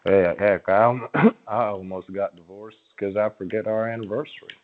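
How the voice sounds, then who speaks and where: A man with a low voice comes through a thin, band-limited phone connection. He talks like a conversational phone salesman, agreeable and coaxing, with hesitant pauses between phrases. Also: fairly even intonation. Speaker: phone caller pitching a sweepstakes prize. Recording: muffled, narrow-band phone call.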